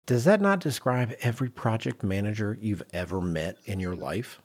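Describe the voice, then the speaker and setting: A man's voice: fairly low pitch, lively intonation, normal speed, a little mellow. A middle-aged man hosting a podcast in casual discussion, acting out little imagined quotes as he explains. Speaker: podcast host.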